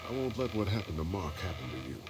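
A protective survivor in a video game. He has a low voice and speaks in a gentle, measured, reassuring way. There is clear ambient rumble behind him. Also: sing-song. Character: calm, protective adult man, reassuring survivor.

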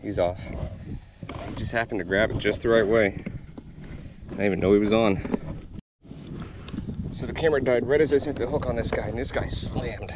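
Low-voiced man, casual, in outdoor noise. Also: fairly even intonation, normal speed, long pauses. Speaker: fishing vlogger. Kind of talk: casual outdoor vlog commentary. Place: outdoors in a kayak on a lake. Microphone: body-worn action camera. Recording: windy outdoor noise on action camera.

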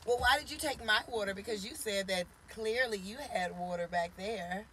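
A woman's voice: medium pitch, normal speed, pushed and bright, slight background noise, poor audio. A woman in her forties hosting a casual live stream from a parked car, chatting and teasing and greeting viewers by name. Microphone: phone camera at arm's length.

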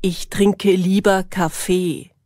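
A woman reading short lesson sentences slowly and clearly, repeating each one for learners. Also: fairly low pitch, level tone, warm tone, no room sound, studio quality. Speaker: language lesson narrator. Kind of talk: slow, clearly articulated example sentences, each said twice.

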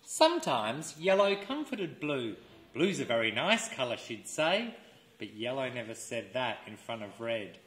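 A man reading a children's storybook aloud as an educator, expressive and deliberate, pausing for listeners to fill in words. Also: medium pitch. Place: indoors, seated in a room with wooden floor. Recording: roomy indoor camera sound.

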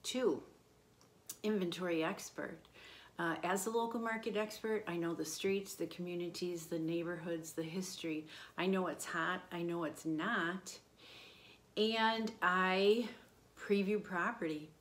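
A woman in her fifties, a real estate agent, talking to camera from a room at home and calmly listing her points one by one. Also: fairly low pitch, a little slow. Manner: steady, confident listing of points. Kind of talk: promotional talk to camera. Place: indoor home room by a window.

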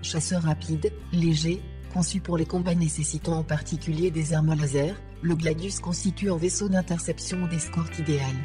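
A synthetic female voice of an AI assistant, giving formal, measured, deadpan scripted information. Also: low voice, soft background music. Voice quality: synthetic text-to-speech voice. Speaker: AI ship assistant.

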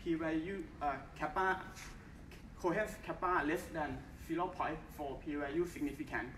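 A young man from Thailand with a Thai accent, giving a formal research talk in careful, halting English at a conference. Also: a little high, fairly even intonation, a bit bright. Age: young adult. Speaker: conference presenter. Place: large bright conference hall. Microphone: far camera, no mic. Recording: echoey hall from far off, faint hiss.

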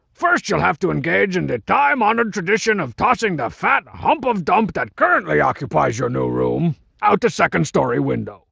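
A swaggering, scheming cartoon masked wrestler for a video game. The voice is pitched high and heavily gravelled, swooping widely as it boasts and mocks. It is petty and full of itself. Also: male voice, fairly bright tone, no room sound, heavily acted. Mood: smug. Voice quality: gravelly, raspy. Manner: boastful, mocking, swaggering wisecracks. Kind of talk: video game character barks.

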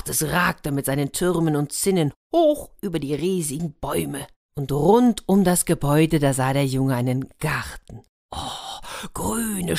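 A woman narrating a fairy tale for children, expressive and dramatic, with hushed passages and drawn-out words. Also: sits low, no echo, studio quality. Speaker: storyteller, host of a children's story channel.

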